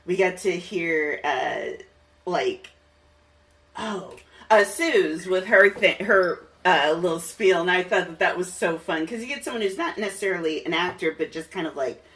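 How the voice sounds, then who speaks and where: A woman with a low-pitched voice hosts a casual interview podcast. She talks with open enthusiasm and sounds somewhat distant from the microphone. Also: animated, a little slow, a bit bright. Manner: enthusiastic, laughs often. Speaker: podcast host.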